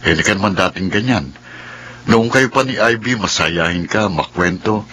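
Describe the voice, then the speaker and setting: A man's voice: fairly low pitch, normal speed, sharp tone, breathy edge. An actor playing an earnest, persistent, caring man in a radio drama. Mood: concerned, prodding.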